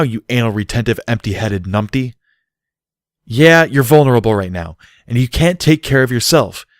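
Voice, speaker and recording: A bossy, blunt mare from a storybook, acted out by a male reader, pitched well up. She scolds emphatically with a sharp tongue, in a dry recording. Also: dry recording, lightly acted.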